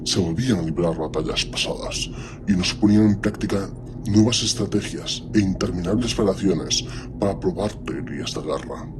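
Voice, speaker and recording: A man's voice: low voice, normal speed, noisy background, lightly acted. A man playing an ancient superhuman warlord in an audio drama, speaking with cold, commanding disdain and menace. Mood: solemn. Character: ancient imperious superhuman warlord primarch, menacing and coldly condescending.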